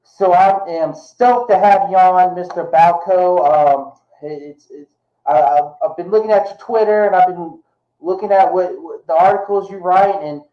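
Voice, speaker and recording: A man's voice: high-pitched, steady tone, unhurried, echoey room, highs cut off. A man hosting a podcast, speaking casually and stumbling over repeated words. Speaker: podcast host.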